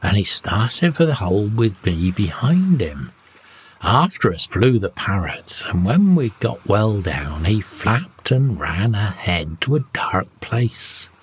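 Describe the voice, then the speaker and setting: A man reading a scripted first-person bedtime story in a measured, expressive storytelling manner. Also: on the low side, breathy edge. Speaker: storyteller, audiobook narrator.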